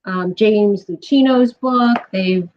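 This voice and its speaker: A woman's voice: mid-range voice, slow. A woman speaking as a guest expert in a casual film discussion, on slightly dull, lo-fi audio. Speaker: guest expert, college professor.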